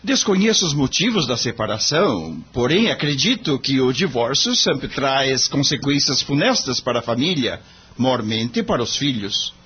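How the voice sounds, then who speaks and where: A man acting an earnest, lively young lawyer. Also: mid-range voice, moderate pace, husky, muffled recording, full character voice. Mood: courteous, concerned. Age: young adult. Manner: polite, formal, deferential. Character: earnest, courteous young lawyer of high morals. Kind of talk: audio drama acting.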